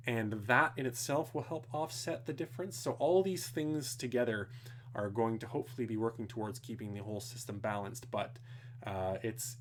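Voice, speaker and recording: A young man, rambling casually through technical viewer questions at home, swearing now and then. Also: mid-range voice. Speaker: streamer answering viewer questions. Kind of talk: casual live Q&A. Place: home, indoors.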